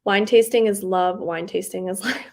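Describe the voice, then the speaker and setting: A woman's voice: mid-range voice, steady tone, moderate pace. A young woman hosting a livestream, chatting casually and rambling with lots of fillers, a faint Southern accent slipping into some words. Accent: slight Southern US on some words. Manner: rambling, giggly, lots of likes and ums. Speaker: booktube livestream host. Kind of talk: casual chat reading live comments.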